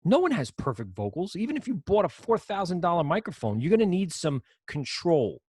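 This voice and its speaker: Low-voiced man teaching audio software. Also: very animated, a little fast, husky, no room sound, studio quality. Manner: casual, rambling, self-correcting explanations. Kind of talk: screen-share software lesson.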